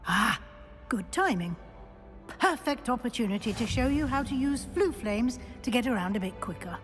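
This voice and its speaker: A woman's voice: on the high side, animated, normal speed, soft background music, close to an everyday voice. An actress playing a kindly middle-aged witch professor in a video game, with a British accent and an articulate, formal, instructive manner. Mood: brisk, welcoming. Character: brisk, kindly female witch professor guiding a new student. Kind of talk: scripted video game dialogue.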